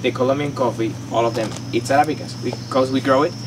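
A man's voice: medium pitch, fairly even intonation, fast talker, recorded from a distance. A young man whose first language is Spanish, patiently explaining on a coffee farm tour in English. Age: young adult. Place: outdoors at a farm workshop. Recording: noisy outdoor recording.

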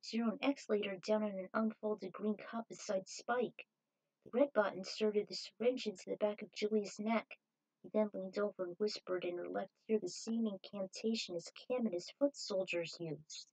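A woman's voice: medium pitch, fairly even intonation, normal speed, darkish tone, low-quality recording. Woman narrating a dramatic story. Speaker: fan fiction writer reading a story aloud.

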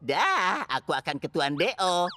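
A woman's voice: on the low side, very animated, a little slow, bright, forceful tone, a little hiss or hum, full character voice. A woman voicing a sly, gloating villainous talking car in a children's cartoon. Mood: smug glee. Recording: long hall reverb, music behind.

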